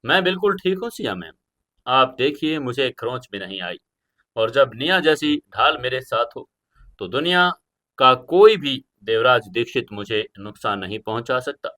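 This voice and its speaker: A man playing a gentle young hero who reassures others and hides his pain in an audio story. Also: medium pitch, big pitch swings, normal speed. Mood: tender, reassuring. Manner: soft-hearted, evasive, reassuring.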